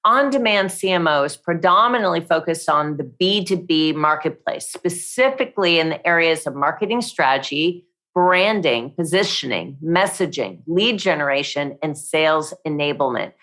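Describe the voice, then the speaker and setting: A woman with a somewhat low voice hosts an interview. She reads her introduction in a polished, measured way and praises her guest warmly and effusively. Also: expressive. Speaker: host. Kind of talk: interview hosting with a read introduction.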